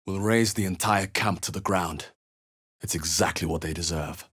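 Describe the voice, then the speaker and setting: A man playing a wary, determined adventurer and spellcaster in a video game, with a terse, measured, dramatic delivery. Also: low-pitched, a bit bright, husky. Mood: grave, guarded. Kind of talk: video game character voice lines.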